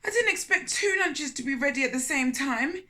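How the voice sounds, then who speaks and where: A woman voicing a greedy, eager male spider from a children's storybook, in an expressive, self-satisfied way. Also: sits high, moderate pace, voice pushed up. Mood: surprised.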